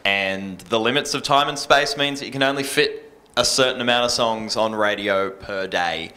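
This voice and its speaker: Bright-voiced Australian man, low pitch. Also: moderate pace. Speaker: radio presenter on a panel. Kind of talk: informal panel talk. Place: stage in an indoor venue with a small audience. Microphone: handheld microphone.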